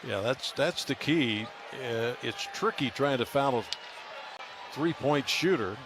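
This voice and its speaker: A man's voice: mid-range voice, lively intonation, slow. A man calling live basketball play-by-play on the radio, emphatically announcing scores and plays. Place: large indoor basketball arena. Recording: noisy arena broadcast. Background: loud arena noise, bouncing basketball.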